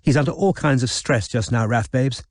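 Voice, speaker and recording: A man's voice: medium pitch, normal speed, no room sound, studio quality, lightly acted. A male narrator voicing a chatty, upper-class young woman in an audiobook. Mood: gossipy.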